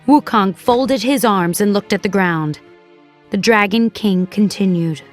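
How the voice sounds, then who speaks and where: A woman narrating a scripted children's story in a measured, expressive storyteller's manner. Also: medium pitch, soft background music.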